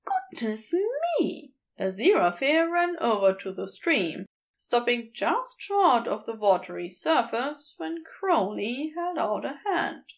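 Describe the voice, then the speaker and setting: A woman's voice: on the high side, very animated, measured pace, vocal fry, hardly any pauses. A woman narrating a scripted story as an audiobook reader. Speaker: podfic narrator.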